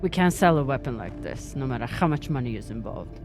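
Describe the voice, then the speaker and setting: A woman's voice: extremely low pitch, lively intonation, brisk, music in the background. A woman playing a hardened, bitter space-crew leader in a video game, with a terse, grim delivery. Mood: firm, insistent. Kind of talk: dramatic video game acting.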